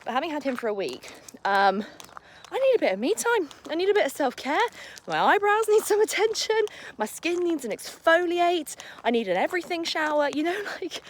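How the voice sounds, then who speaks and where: A young woman talks into her phone as she walks her dog outdoors, her voice a little croaky from the early morning. She rambles in a friendly, upbeat way, full of fillers and quick self-corrections. A faint hiss sits under the recording. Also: high voice. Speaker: lifestyle vlogger. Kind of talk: casual vlog chat to camera. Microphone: phone held while walking.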